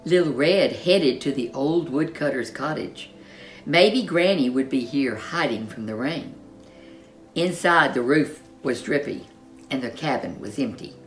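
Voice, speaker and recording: A woman storyteller reading a children's storybook in an expressive, animated way, with dramatic emphasis. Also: very deep voice, measured pace, faint music behind.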